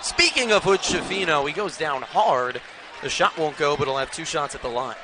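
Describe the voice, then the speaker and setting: A fairly high-voiced American man announces live basketball play-by-play. His delivery is emphatic and projected, set against a loud arena crowd. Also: animated, normal speed, noisy background. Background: crowd and basketball bounce.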